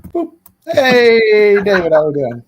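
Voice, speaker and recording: A man's voice: high voice, very animated, takes it slowly, slight background noise. A man co-hosting a casual livestream chat, upbeat and playful in banter, greeting viewers. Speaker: co-host.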